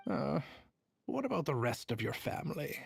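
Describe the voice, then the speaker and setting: An actor voicing a haughty, skeptical official in a game, measured and condescending. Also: male voice, on the high side, sing-song, gravelly, slightly rough, exaggerated voice. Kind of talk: game voice acting.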